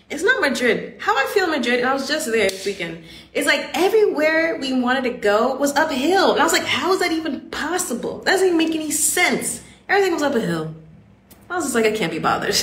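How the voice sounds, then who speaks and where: A woman's voice: a little high, a bit bright. A woman in her thirties from the United States, chatting casually as a podcast host, laughing often and filling her talk with "like" asides. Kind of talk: casual chat. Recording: echoey street recording with faint ambience.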